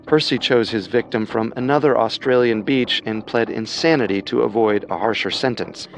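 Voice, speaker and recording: A man narrating a scripted true crime podcast in a measured, dramatic way. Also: mid-range voice, faint music behind, rough recording.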